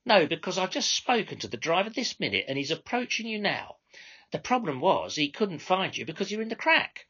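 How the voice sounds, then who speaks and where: Female minicab controller, male reader, high and husky, bossy, mock-polite, sweary, story dialogue. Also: big pitch swings, fairly quick, bright, forceful tone, highs cut off, exaggerated voice. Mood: sarcastic. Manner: insincerely courteous, bossy, sweary.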